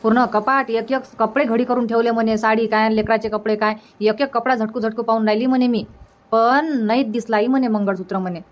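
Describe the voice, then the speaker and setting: A practical cartoon village mother, acted by a woman with a somewhat high voice. She chats in a colloquial Varhadi Marathi accent, gossiping and advising, and often says things over again. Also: fairly even intonation, a little fast, close to an everyday voice. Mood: gossipy, concerned. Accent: Varhadi Marathi from Vidarbha. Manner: gossipy, advising, repeats herself. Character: practical, reassuring village mother of a married daughter.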